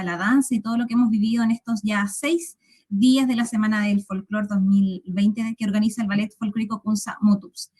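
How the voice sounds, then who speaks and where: Courteous woman moderator, slightly high voice. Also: darkish tone. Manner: slow and courteous, with fillers. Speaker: forum moderator. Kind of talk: formal introductions.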